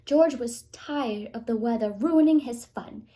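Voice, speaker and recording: A woman with a fairly high voice reads a picture book to young children at a very unhurried pace. She sounds like a teacher at story time, reading expressively and stopping for small explanatory asides. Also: warm tone.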